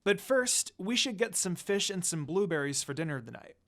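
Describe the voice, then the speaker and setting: A narrator voicing a friendly, caring young woman with a soft, reassuring and upbeat manner. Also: mid-range voice, sing-song, moderate pace, natural voice.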